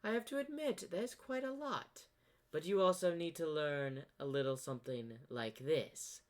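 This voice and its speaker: A man's voice: on the high side, normal speed, voice pushed up, natural voice. A man voicing a boastful, sadistic female unicorn pony sorceress in a fan-made story, smug and taunting. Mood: cruel, amused.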